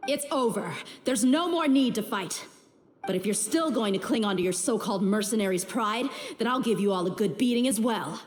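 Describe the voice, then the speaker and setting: A woman's voice: mid-range voice, expressive, moderate pace, a little hiss or hum, natural voice. A woman playing a tough, confident young mercenary with a blunt, challenging manner in video game acting. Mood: stern. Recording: hall reverb, game music behind.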